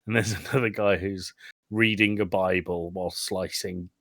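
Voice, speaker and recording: A man's voice: deep voice, steady tone, normal speed, a bit bright, dry recording. A man hosting a podcast, casually recapping a film with informal, sweary, dry humour. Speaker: podcast host.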